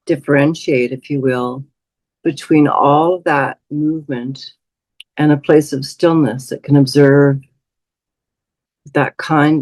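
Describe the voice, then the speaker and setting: A woman leading a guided meditation as a teacher, speaking in a soothing, unhurried way with long pauses. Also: a bass voice, darkish tone, dry recording, rough recording.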